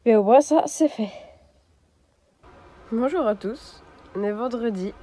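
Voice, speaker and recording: Young woman vlogger with a cold, hesitant casual chat on a phone mic, higher voice with a mellow tone. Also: slow. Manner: chatty, hesitant with many fillers. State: stuffy nose from a cold. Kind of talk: casual vlog chat to camera.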